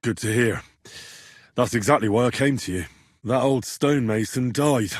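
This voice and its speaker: Actor as husky young medieval adventurer. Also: male voice, medium pitch, moderate pace, dry recording, clean studio sound, natural voice. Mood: matter-of-fact. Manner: colloquial British phrasing, plain-spoken. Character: young medieval adventurer, earnest, blunt, streetwise. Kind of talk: video game dialogue voice acting.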